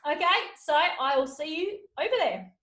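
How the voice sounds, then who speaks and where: A woman podcast host giving an upbeat motivational keynote talk, walking through numbered steps, her voice slightly hoarse. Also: high voice, lively intonation, normal speed, fairly bright tone. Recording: roomy echo.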